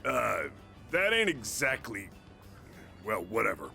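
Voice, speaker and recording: A gruff ramen cook from a video game, blunt and informal. The voice is gravelly and sharp-edged, with animated pitch. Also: male voice, takes it slowly, faint music behind, exaggerated voice. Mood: gruff, baffled.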